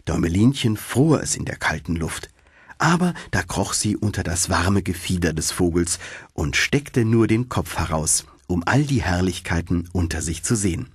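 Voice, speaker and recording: A man narrating a fairy tale as an audiobook, in an expressive storytelling manner with a husky voice. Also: on the low side, no echo, studio quality.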